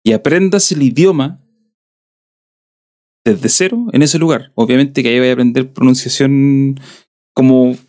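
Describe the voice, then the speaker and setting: A man's voice: mid-range voice, unhurried, pauses often, a little mellow. A man from southern Chile with a Chilean accent, hosting a podcast in a rambling, chatty way. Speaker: podcast host. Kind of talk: casual podcast chat.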